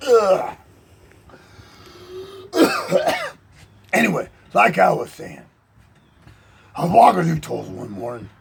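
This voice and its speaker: A man's voice: on the high side, very animated, normal speed, vocal fry, long pauses, very noisy. A man telling a rambling, boastful tall tale in a folksy drawl, as if chatting on a porch. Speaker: storyteller in character. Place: outdoors on a porch.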